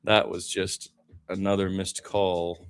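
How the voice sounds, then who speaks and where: A man's voice: low voice, level tone, takes it slowly, clear, resonant tone. A man doing improvised live basketball play-by-play as a radio commentator, adding opinionated, reflective asides between calls.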